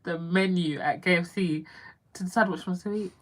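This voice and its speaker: A woman's voice: on the low side, fairly even intonation, fairly quick. A woman in a casual live chat, talking easily and often laughing, filling in details for new viewers. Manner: chatty, laughs often, jumps in to explain. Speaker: co-host of family channel.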